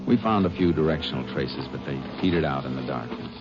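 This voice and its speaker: A man playing a calm, commanding Texas Ranger who also narrates, in a 1950s radio drama recorded on a muffled old broadcast. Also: very deep voice, a little fast, very noisy. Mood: narrating. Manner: calm, matter-of-fact, commanding. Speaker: lead detective and narrator.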